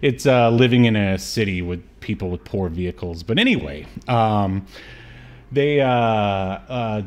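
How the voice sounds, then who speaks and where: A man's voice: fairly low pitch, a little slow. A man in his late thirties co-hosting a casual, joking film discussion, often stopping and restarting his sentences. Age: late 30s. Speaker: podcast co-host. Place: home room on a video call.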